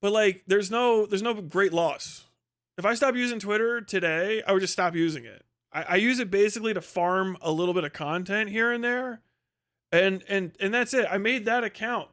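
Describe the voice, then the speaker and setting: High-voiced man, livestream host, casual opinionated rant, blunt, sweary, dismissive. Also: a bit bright.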